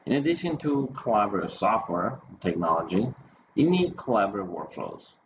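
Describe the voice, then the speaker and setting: Male presenter, low-pitched, halting explanatory delivery, muffled recording with clear background noise. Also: sing-song. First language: Spanish or English, bilingual. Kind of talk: conference presentation.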